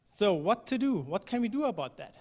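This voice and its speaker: A man's voice: high-pitched, big pitch swings, brisk, a little mellow. A man from Germany giving a conference talk in a hall, speaking conversationally with fillers and now and then a phrase that sounds non-native. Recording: reverberant hall.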